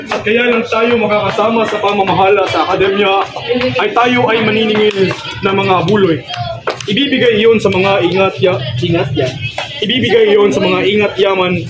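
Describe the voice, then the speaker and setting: A man's voice: sits high, steady tone, moderate pace. A young Cebuano-speaking Filipino man rehearsing radio drama lines as an amateur and bantering casually. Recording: loud music behind, voice at times distant.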